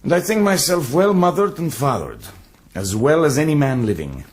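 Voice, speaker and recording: An actor in a radio drama playing a proud, courteous young Syrian-born warrior who speaks in a measured, formal and earnest way. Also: male voice, on the high side, very animated. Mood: proud, wistful.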